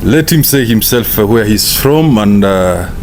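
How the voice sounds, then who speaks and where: An East African man with a fairly low voice hosts an informal interview. His delivery is halting and conversational, and a faint hum sits behind him. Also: animated, a little mellow. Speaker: show host and interviewer.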